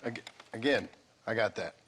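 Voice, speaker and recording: An actor playing a weary, sardonic cowboy outlaw in a western video game, dry and curt with a raspy voice. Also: male voice, lowish voice, moderate pace, a bit bright, slight background noise, close to an everyday voice. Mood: dry, impatient.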